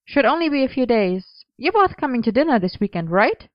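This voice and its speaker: A woman voicing a brisk, bossy but friendly young female costume maker in an audiobook story. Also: on the high side, big pitch swings, dry recording, lo-fi sound, pitched above the performer's own voice. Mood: cheerful. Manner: brisk, no-nonsense.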